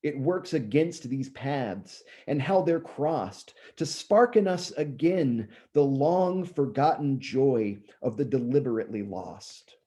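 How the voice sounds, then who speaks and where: A man in his thirties, slightly hoarse and often clearing his throat, reciting his own poems in a measured way and chatting loosely between them. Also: mid-range voice, expressive, dark tone. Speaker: poet reading his own work. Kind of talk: poetry reading with casual asides.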